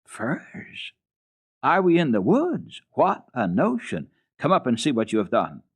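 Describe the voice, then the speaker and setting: A man's voice: medium pitch, wildly swooping pitch, a little slow, breathy edge, exaggerated voice. A man voicing a stern, easily outraged governess, scolding and commanding in a children's story reading. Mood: incredulous. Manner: indignant, exclamatory, commanding.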